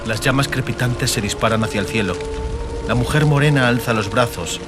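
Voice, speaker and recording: A man narrating a fiction audiobook dramatically, in solemn, measured short phrases. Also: mid-range voice, over loud music.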